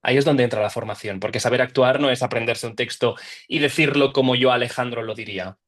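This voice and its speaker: A young man from Barcelona speaks in a middle-pitched voice. He answers questions at length, thoughtfully, restarting phrases as he works out his point. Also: fast talker, fairly bright tone. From Barcelona, Spain. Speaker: actor, interview guest. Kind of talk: interview conversation.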